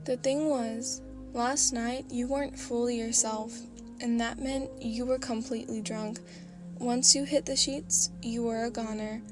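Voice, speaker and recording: A woman reads a fan story aloud in a slow, sleepy style, deliberately pressing her voice lower than her usual speech. Even lowered, it still sits fairly high for a woman. Music plays steadily behind her. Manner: lowered, deliberately deeper reading voice. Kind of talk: reading fan fiction aloud, sleepy ASMR.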